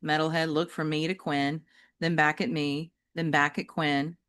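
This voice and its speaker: A woman reading a children's novel aloud as an expressive, dramatic storyteller who varies her pacing. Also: deep voice, normal speed, clear, resonant tone. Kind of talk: expressive children's audiobook narration.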